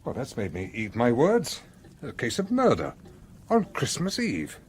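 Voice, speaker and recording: A bluff English colonel in a radio play, a genial man with a hearty manner. His English-accented voice sits at a middle pitch and swings widely, over faint background noise. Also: wildly swooping pitch, unhurried. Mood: concerned, brisk. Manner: bluff, jovial, chuckling.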